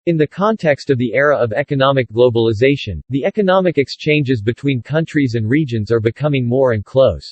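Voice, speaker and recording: Husky man reading a passage aloud. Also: medium pitch, animated, brisk, hardly any pauses, dry recording, dull audio. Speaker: reader of practice passages. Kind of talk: scripted read-aloud of exam passages.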